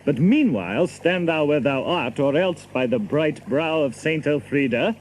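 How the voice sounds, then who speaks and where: An actor playing a bold, proud outlaw archer in an audio drama, boastful and theatrical in archaic English. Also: male voice, fairly high pitch, animated, moderate pace, a little mellow, a little hiss or hum, natural voice, poor audio. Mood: defiant.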